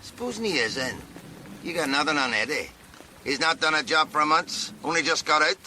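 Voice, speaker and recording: A man's voice: on the high side, animated, fairly quick, sharp tone, a little hiss or hum. A man playing a defensive, nervous old working-class landlord grumbling in a crime radio drama.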